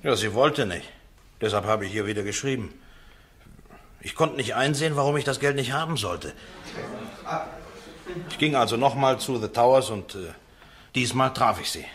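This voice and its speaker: An actor playing a defensive, down-to-earth accused man in a radio play, speaking haltingly and colloquially. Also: mid-range voice, fairly bright tone, lightly acted. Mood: frank, halting.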